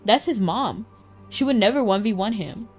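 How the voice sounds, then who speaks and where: A woman game streamer with a medium-pitched voice, chatting casually and reacting playfully. She uses plenty of slang, and faint music plays behind her. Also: animated, moderate pace. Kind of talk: casual running commentary on game story.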